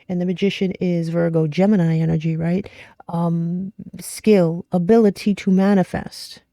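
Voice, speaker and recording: Female tarot host, mellow tone, close dry sound, informal and blunt delivery with frequent check-ins. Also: fairly low pitch, vocal fry, no echo. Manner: direct, informal, mildly salty, frequent check-in questions. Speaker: tarot reader and astrology host. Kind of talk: casual conversational tarot reading.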